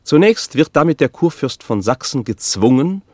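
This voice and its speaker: Ein Schauspieler gibt in einem deutschen Hörspiel einen nüchternen, gewichtigen Chronisten, der gemessen und förmlich erzählt. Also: male voice, mid-range voice, sing-song, mellow, no echo, studio quality. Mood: sober, grave. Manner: measured, formal. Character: sober, weighty male chronicler narrating a historical trial. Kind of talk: scripted radio drama narration.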